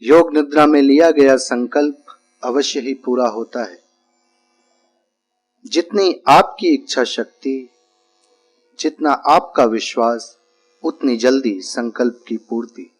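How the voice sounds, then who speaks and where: A man giving guided relaxation instructions as a yoga nidra teacher, speaking calmly and deliberately in short, repeated phrases with pauses. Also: medium pitch, soft background music, rough recording.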